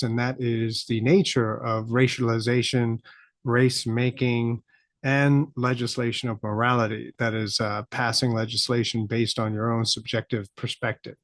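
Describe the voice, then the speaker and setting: A middle-aged man with an American accent talks through his thoughts on a solo podcast, pausing often and filling gaps with "uh". His voice sits at an ordinary pitch for a man. The sound is dry and close, with no room around it. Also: no echo. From United States. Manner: reflective, thinks aloud with frequent fillers. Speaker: podcast host.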